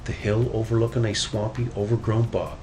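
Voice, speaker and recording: A man reading a children's storybook aloud as narrator, in an animated storytelling style. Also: fairly low pitch, a little fast, slight background noise. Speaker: storybook reader.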